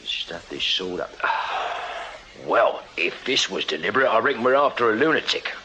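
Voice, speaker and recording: An actor playing a plain-spoken, deferential Victorian constable and loyal sidekick in a radio drama, colloquial and blunt. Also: male voice, lowish voice, animated, moderate pace, bright, forceful tone. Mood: speculating. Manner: colloquial, blunt, hesitant with his superior. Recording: dull, noisy radio drama copy.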